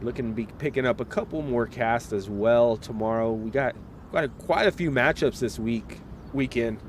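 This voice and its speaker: A man casting a live esports match, relaxed and chatty, talking straight to viewers while getting over a slight cold. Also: mid-range voice, moderate pace, a little hiss or hum. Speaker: esports caster.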